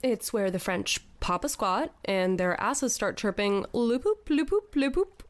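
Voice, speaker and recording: A woman's voice: on the high side, animated, measured pace, no echo. An actress playing a sceptical, wisecracking young woman in a scripted audio drama, with an incredulous, slangy, joking way of talking. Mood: playful.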